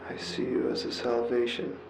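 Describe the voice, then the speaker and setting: A man giving a heartfelt, confessional voicemail monologue, earnest and reflective, halting as he repeats words and pauses mid-sentence. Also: medium pitch, monotone, gravelly, background noise. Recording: roomy echo, music underneath. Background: music.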